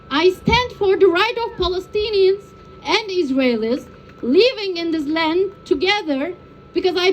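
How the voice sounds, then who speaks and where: A woman's voice: very high voice, slight background noise, low-quality recording. A woman from Turkey, a peace activist, giving a prepared, personal public speech with earnest emotion.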